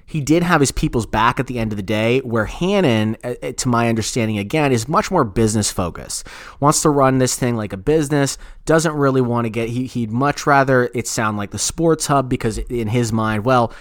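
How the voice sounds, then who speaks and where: A man's voice: medium pitch, normal speed, no room sound, clean studio sound. A man co-hosting a podcast, talking casually and giving opinions in a profane, rambling way with repeated phrases. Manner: profane, repetitive, rambling explanations. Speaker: podcast co-host. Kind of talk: casual opinionated talk about sports radio.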